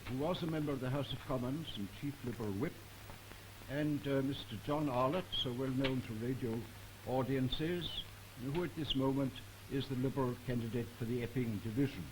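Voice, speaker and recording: A British man moderating a formal 1950s political broadcast in a measured, halting, deliberate manner. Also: mid-range voice. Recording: hissy 1950s archive broadcast recording.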